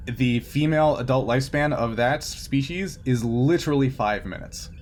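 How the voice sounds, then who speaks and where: A man's voice: medium pitch, moderate pace. Casual male game master, some background noise. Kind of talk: casual improvised tabletop game narration.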